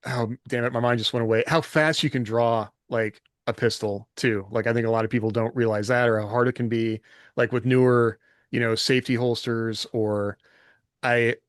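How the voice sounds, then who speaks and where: A man's voice: medium pitch, steady tone, normal speed, dry recording, clean studio sound. A man co-hosting a podcast in casual, self-deprecating chat, often ready to chuckle. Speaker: podcast co-host.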